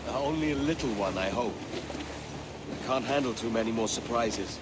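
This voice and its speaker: A man playing a gentle, wry sea captain. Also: mid-range voice, normal speed, natural voice. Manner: calm, warm, gently teasing. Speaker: ship captain. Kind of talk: video game cutscene acting. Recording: loud wind and ocean behind. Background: wind and waves at sea.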